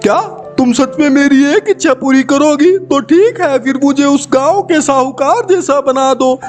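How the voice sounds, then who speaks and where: A man's voice: very high-pitched, pitched above the performer's own voice. A man playing a cunning, cowardly, greedy village thief in a cartoon, pleading and scheming. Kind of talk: Hindi cartoon voice acting. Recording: loud music behind the voice. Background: loud background music.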